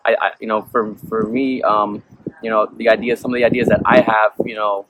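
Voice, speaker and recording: A man's voice: on the low side, little pitch movement, brisk. A young man born in Peru, a musician being interviewed, who rambles casually and fills his talk with "you know" and "um". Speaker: musician guest. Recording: noisy background.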